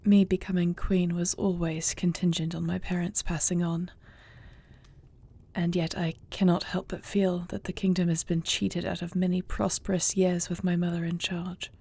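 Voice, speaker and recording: A woman's voice: lowish voice, vocal fry, dark tone, husky. A woman playing a grieving young warrior princess about to become queen, speaking formally and earnestly in an intimate fantasy audio roleplay. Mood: hushed, resolute. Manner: formal, earnest archaic phrasing.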